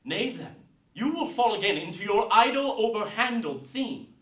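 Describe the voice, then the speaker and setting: A man playing a bashful but disdainful young man in a deliberate, emphatic recital of Shakespeare verse. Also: sits high, lively intonation, fairly bright tone. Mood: scornful, firm. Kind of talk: theatrical Shakespeare verse monologue. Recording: roomy echo, distant mic.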